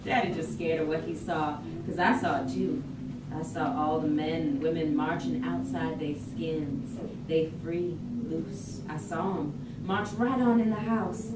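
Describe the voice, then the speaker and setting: Echoey, low-voiced, awestruck eldest daughter played by a woman. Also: close to an everyday voice. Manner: incantatory repetition, Southern-flavored folk dialect. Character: eldest daughter remembering spirits, awed and fearful. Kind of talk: staged reading of a poetic monologue. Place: indoor room at a staged reading. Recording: echoey, noisy room, distant mic.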